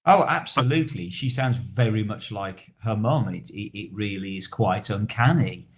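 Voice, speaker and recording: A man co-hosting a podcast in casual discussion, talking wordily and haltingly as he repeats and rephrases himself. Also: lowish voice, animated. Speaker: podcast co-host.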